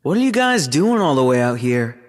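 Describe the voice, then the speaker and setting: A man's voice: mid-range voice, very animated, moderate pace, lightly acted. A man playing a curious, sceptical roommate in casual audio drama dialogue. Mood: friendly surprise.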